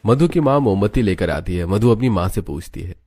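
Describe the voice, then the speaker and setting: A man's voice: on the low side, expressive, a little fast, darkish tone, breathy edge. A man narrating a scripted story as its narrator.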